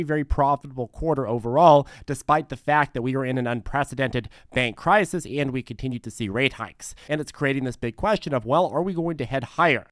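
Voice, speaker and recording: An American man hosting a stock market video, giving energetic, emphatic, colloquial commentary to viewers in a slightly hoarse voice. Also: medium pitch, no room sound, studio quality. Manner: emphatic and colloquial, repeating words for effect.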